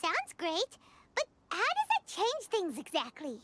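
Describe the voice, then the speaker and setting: A woman voicing a tiny, excitable, childlike fairy companion in a video game, squeaky and curious. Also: a little slow, heavy background noise, full character voice. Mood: eager. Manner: exclaiming, curious, refers to self in third person. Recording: background music under the voice.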